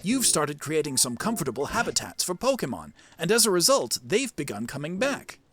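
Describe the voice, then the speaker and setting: An old professor creature from a video game, voiced by a streamer, wise and kindly. The speech is formal and measured, full of nostalgia, with an expressive, varied melody. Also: mid-range voice, faint music behind. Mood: wise, solemn.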